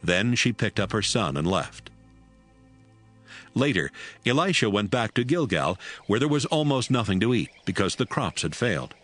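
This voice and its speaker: A man's voice: lowish voice, sing-song, moderate pace, a bit bright, soft background music. A man narrating a dramatized Bible reading as a scripted storyteller.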